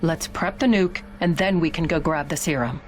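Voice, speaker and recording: A woman's voice: sits low, normal speed, close to an everyday voice. A woman playing a cool, capable government agent in a video game cutscene, dry and businesslike. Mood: urgent, resolute. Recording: loud music under the voice.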